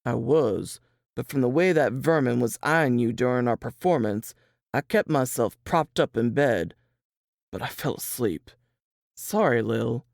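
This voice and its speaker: A man playing a protective, plain-spoken Southern piano player in a dramatized audiobook, with folksy period dialect and a creaky voice. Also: medium pitch, lively intonation, unhurried, dry recording, clean studio sound. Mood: weary, apologetic.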